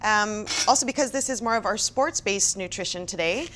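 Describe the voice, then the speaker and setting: A woman's voice: mid-range voice, brisk, noisy background. Upbeat woman hosting a kitchen show. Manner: upbeat, chatty, asks guests questions. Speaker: host of cooking workshop. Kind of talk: casual hosted cooking show.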